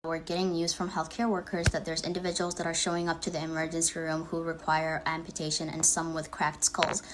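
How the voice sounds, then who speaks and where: A woman's voice: low voice, monotone, fairly quick. A woman earnestly pleading with listeners to watch out and share a warning. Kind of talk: spoken public appeal. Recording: clip with faint background noise.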